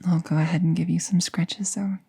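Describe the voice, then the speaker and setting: A woman playing a gentle, affectionate young apprentice witch in an intimate roleplay audio, speaking softly and haltingly, often near a whisper. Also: on the low side, fairly even intonation, gravelly, husky, exaggerated voice. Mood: soothing, affectionate. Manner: halting, gushing, soothing. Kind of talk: intimate roleplay audio, soft-spoken ASMR.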